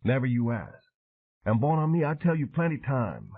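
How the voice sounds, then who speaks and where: A man's voice: mid-range voice, very animated, normal speed, breathy edge, voice pushed up. A man doing a laconic boatman. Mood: terse, knowing. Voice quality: clipped broken grammar, laconic. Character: gruff Cajun male Vietnam veteran boatman. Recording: tinny phone-like sound.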